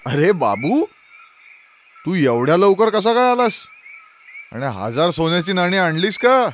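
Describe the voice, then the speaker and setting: A man's voice: sits high, sing-song, unhurried, a bit bright, a little hiss or hum, heavily acted. A man voicing a demanding village landlord in a children's fairy-tale cartoon, pointed and questioning. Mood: surprised, demanding.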